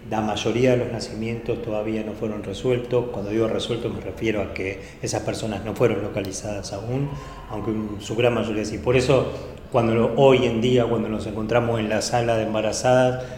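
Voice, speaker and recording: A man speaks at a medium pitch, explaining and giving testimony. The recording is very roomy, with long reverberation and clear noise around him. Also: little pitch movement, a little fast, a little mellow. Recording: noisy, echoey hall with long reverb.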